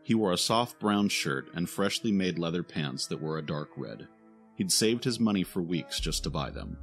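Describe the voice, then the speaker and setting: A man's voice: low voice, very animated, moderate pace. A man reading scripted narration for a fantasy audio drama. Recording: music behind the narration.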